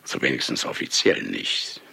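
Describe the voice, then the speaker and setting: An actor playing a brash, hard-drinking famous male novelist, addressing the audience in a radio play with sly, ceremonious irony and a gravelly, husky voice. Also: sharp tone. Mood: sly, ironic. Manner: sly, chuckling, ceremonious asides with drawn-out words. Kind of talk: theatrical radio play monologue.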